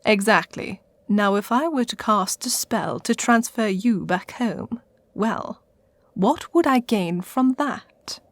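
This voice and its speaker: Lady playing a posh teasing english witch, mock-grand, slow delivery, dry studio sound, radio comedy. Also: mid-range voice, lively intonation, no room sound. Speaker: host of a comedy radio show. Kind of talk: radio sitcom acting.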